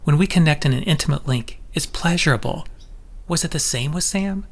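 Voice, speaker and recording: An actor playing an intense, possessive, manipulative telepath lover in an audio drama, pressing in a coaxing, insistent way. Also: male voice, fairly high pitch, a little fast. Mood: wounded, insistent.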